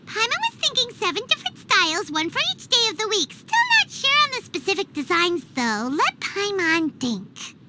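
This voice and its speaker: A woman voicing a tiny, excitable, childlike fairy sidekick in a video game, bubbly and dramatic, sweet and a little greedy. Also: very high voice, moderate pace, few pauses, noisy background. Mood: eager. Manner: bubbly, dramatic, speaks in third person.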